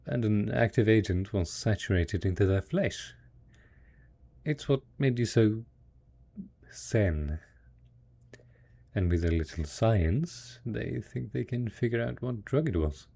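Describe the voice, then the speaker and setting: A man acting as a devoted, hopeful survivor in an intimate audio roleplay, talking tenderly and coaxingly to a spouse, with a husky, gravelly voice. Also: lowish voice, dark tone, exaggerated voice. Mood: hopeful, reassuring.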